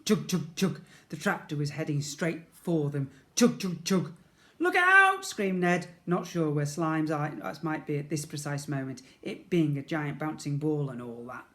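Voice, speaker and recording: A man's voice: on the high side, fairly even intonation, unhurried, few pauses, a little mellow. Man reading a children's story dramatically. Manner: dramatic, playful, with sound effects and emphatic repetition. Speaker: primary school teacher reading to children. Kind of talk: expressive children's storybook narration.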